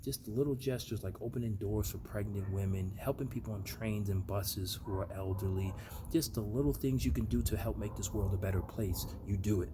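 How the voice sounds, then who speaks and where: A man's voice: lowish voice, a little fast. An American man talking earnestly and reflectively to his viewers in a casual motivational monologue. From United States. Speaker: vlogger. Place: outdoor backyard. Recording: noisy amateur recording with ambient noise.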